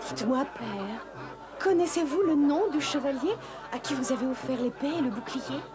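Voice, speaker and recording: A woman voicing an eager, curious young merchant's daughter in a cartoon, inquisitive and excitable. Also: high voice, moderate pace, natural voice.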